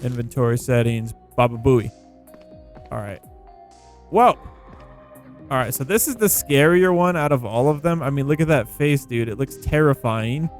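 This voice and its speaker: A man giving casual gameplay commentary, thinking out loud in an informal way. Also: medium pitch, lively intonation, moderate pace, long pauses. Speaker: gaming video creator. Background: background music.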